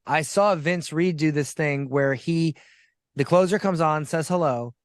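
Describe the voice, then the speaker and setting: A man hosting a casual video call, talking excitably and repeating his words. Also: fairly high pitch, measured pace, no echo.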